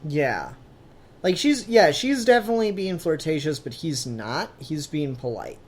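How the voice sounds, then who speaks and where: Guy cohosting a casual fan podcast, super animated up and down voice, informal. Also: on the high side, unhurried. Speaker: comic book review podcast co-host.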